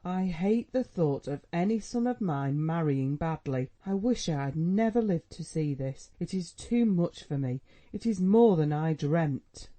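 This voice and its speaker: A man's voice: on the high side, animated, unhurried, few pauses, mellow, pitched above the performer's own voice. A man doing a scolding, tearful mother. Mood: pleading. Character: anxious, reproachful middle-aged mother, a woman. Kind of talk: audiobook dialogue reading.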